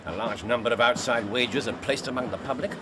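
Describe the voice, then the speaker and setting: An actor playing a shrewd, confident Victorian detective in a period drama, with an English accent and a theatrical, incisive, deliberate delivery. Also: male voice, mid-range voice, lightly acted. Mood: musing, reasoning. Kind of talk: period radio drama dialogue. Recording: clearly noisy, ambient background.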